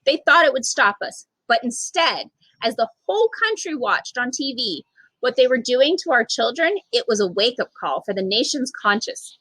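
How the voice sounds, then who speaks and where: Expressive high-pitched woman reading a storybook. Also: normal speed, husky, no room sound, low-quality recording. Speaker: storytime reader, literacy program manager. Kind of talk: expressive children's picture book read-aloud.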